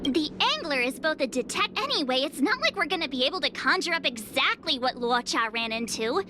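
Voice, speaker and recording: An imaginative girl from a video game who loves spinning stories. Her voice is very high and animated, with an eager, theatrical explaining manner. Clear noise sits behind her. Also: a little fast.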